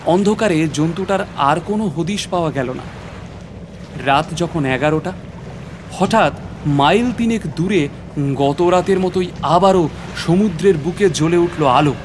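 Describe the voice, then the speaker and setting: A man's voice: fairly high pitch, unhurried, long pauses, noisy background, natural voice. An actor playing an earnest sea adventurer who narrates his perilous voyage in a theatrical, suspenseful audio drama style. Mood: suspenseful, dramatic. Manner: theatrical, suspenseful, repeats words breathlessly in peril. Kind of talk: dramatised first-person story narration.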